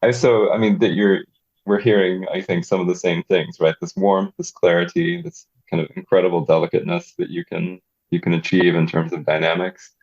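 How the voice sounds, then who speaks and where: A male musicologist asks questions in a conversational interview. His voice is very low and husky, and the recording sounds dull and lo-fi. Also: fairly even intonation, brisk, darkish tone. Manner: attentive, with frequent murmured agreement.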